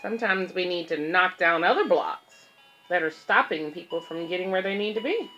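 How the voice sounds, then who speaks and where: A woman's voice: fairly low pitch, moderate pace, sharp tone, highs cut off, soft background music. A woman reading a picture book aloud as a babysitter, explaining it simply to children and parents with casual asides. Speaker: babysitter and children's story reader.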